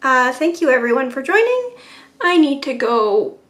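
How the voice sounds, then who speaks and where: A woman's voice: sits high, very animated, normal speed, fairly bright tone. A woman hosting a live watercolour painting stream, chatting with viewers in a rambling, laughing way. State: sore neck.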